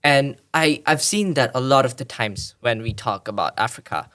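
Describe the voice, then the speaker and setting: A man's voice: mid-range voice, expressive, moderate pace, fairly bright tone. A man from Tanzania, a podcast guest, explaining at length in a thoughtful way with frequent restarts. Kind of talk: explanatory conversational interview.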